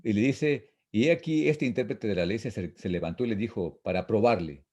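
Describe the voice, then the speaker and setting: A man teaching an informal Bible lesson, reading and explaining verses in a repetitive, halting, didactic way. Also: on the low side, a little mellow. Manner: didactic, repetitive, halting with frequent false starts.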